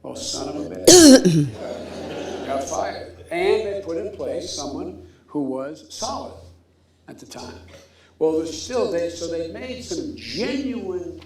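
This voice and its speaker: Older man, gravelly voice, boastful storytelling, very echoey distant clip. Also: fairly high pitch, lively intonation, slow pace, darkish tone. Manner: boastful storyteller, quoting himself. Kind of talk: recounting a story in a speech.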